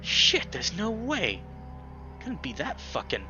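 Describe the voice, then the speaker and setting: A man voicing a gruff, blunt, foul-mouthed old weasel in a visual novel. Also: sits high, wildly swooping pitch, slow pace, dull audio, music in the background, pitched above the performer's own voice, exaggerated voice. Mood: suspicious. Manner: swearing, exasperated, blunt.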